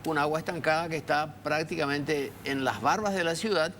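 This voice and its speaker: A man speaking as a guest on a panel, talking fast and cutting in. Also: fairly high pitch, a crowd in the background.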